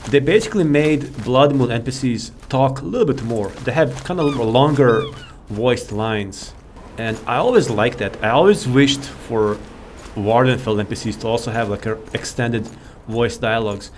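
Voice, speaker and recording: A man's voice: mid-range voice, slight background noise. A man giving rambling, informal and enthusiastic commentary as he hosts a video game showcase. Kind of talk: casual game walkthrough commentary. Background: game music.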